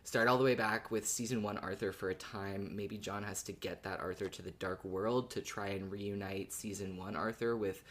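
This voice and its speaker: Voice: low voice, steady tone, moderate pace, few pauses. A podcast co-host chatting casually and expressively in a fan discussion, swearing freely.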